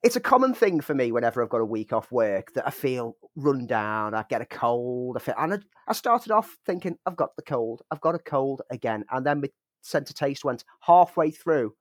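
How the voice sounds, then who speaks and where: A man with a mid-pitched voice co-hosts a casual podcast, rambling in a self-mocking way with frequent chuckles. He has a lingering cough, and the recording is close and dry. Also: big pitch swings, moderate pace, dry recording. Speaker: podcast co-host.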